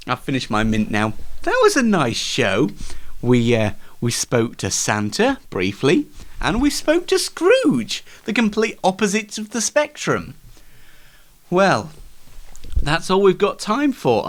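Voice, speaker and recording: A man hosting a comedy show in a casual, self-deprecating and halting way. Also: on the high side, wildly swooping pitch. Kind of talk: casual, scripted comedy interview and chat.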